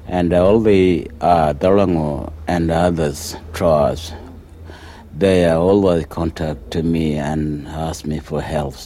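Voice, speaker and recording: A man giving hesitant interview answers, pausing often with fillers. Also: a bass voice, steady tone, dark tone, a little hiss or hum. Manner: hesitant, many pauses and fillers. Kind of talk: halting interview answers in English.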